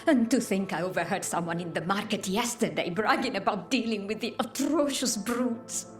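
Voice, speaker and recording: A woman's voice: medium pitch, normal speed, lightly acted. A woman playing a refined, dramatic gallery owner in a video game, with a genteel, theatrical manner. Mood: indignant. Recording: music behind.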